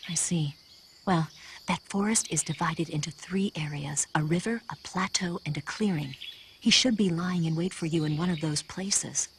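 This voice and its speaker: An actress playing a composed, encouraging female spy in a video game, measured and reassuring. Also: low voice, a little hiss or hum. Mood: calm, cautionary. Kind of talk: dramatic video game voice acting.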